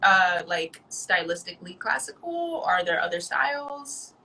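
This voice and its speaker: Bright young woman interviewer, slangy and effusive. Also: lowish voice, very animated, unhurried, a little hiss or hum, rough recording. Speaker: show host interviewer. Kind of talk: casual chat interview.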